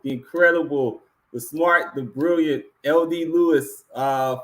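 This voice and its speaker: A man's voice: mid-range voice, poor audio. A man casually hosting a live awards show and announcing finalists and winners.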